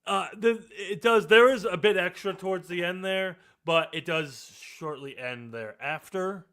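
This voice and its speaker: A man's voice: high-pitched, a little slow, clear, resonant tone. A man hosting a podcast after-show, talking casually and rambling with fillers. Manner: relaxed, rambling, many fillers. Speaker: podcast host.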